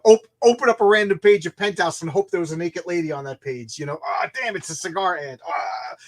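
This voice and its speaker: Chatty middle-aged man with a higher-pitched voice. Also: a bit bright. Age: 50s. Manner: rambling, self-deprecating, jokey. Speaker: live stream host chatting about comics. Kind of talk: casual live chat with anecdotes.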